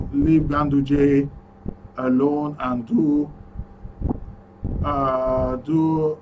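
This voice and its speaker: A man's voice: mid-range voice, distant mic, dull audio, background noise. A Nigerian man hosting a live call-in radio show from the studio, upbeat as he reads out messages and phone numbers. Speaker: radio talk show host.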